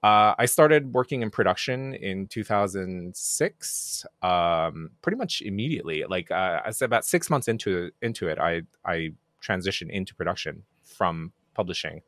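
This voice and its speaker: A middle-aged man from Japan with a very low voice, a native Japanese speaker talking in English. He chats easily with a self-deprecating humor and plenty of fillers. The sound is close and dry, with no room echo. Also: moderate pace, hardly any pauses. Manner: relaxed, self-deprecating, many fillers and restarts. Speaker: podcast guest, game producer. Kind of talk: casual interview chat.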